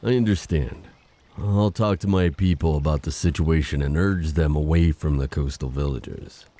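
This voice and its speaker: An actor playing a solemn, dutiful undersea prince in an audio drama, speaking formally and gravely in measured phrases. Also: male voice. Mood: earnest, dutiful. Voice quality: very deep, dark. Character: solemn, dutiful undersea prince guarding his hunted people.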